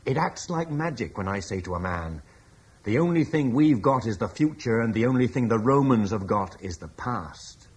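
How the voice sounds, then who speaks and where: An actor playing a blunt, forceful military commander from Cornwall who scorns Rome, in a classic radio drama. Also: male voice, medium pitch, lively intonation, moderate pace, husky. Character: blunt British war leader, scornful of Rome.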